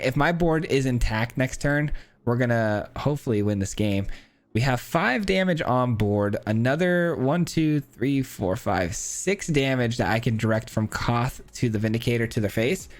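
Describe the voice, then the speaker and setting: A man with a hoarse, cracking voice gives casual commentary on his own card game, counting aloud and thinking through his plays. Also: mid-range voice. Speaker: gameplay streamer. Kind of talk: casual live gameplay commentary.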